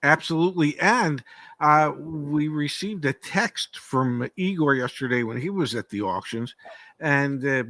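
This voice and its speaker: An older man talking in a measured, hesitant way and often restarting his sentences. His voice sits at an ordinary pitch, and the sound is dry and close with no room echo. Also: animated, pushed and bright. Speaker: podcast co-host. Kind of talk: casual live-stream talk.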